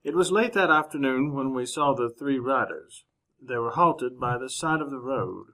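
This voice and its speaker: An actor playing a courteous gentleman journalist in a radio western, speaking in measured, formal, precise words. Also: medium pitch, lively intonation. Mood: composed. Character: proper, courteous gentleman journalist narrating his western travels. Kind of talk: old-time radio drama narration and dialogue.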